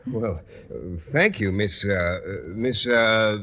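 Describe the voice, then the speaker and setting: A bashful, slow, stammering schoolteacher. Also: male voice, on the low side. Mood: bashful, flustered. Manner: halting, stammering, polite. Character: bashful, hesitant male biology teacher. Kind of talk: 1940s radio comedy acting. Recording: muffled old radio broadcast.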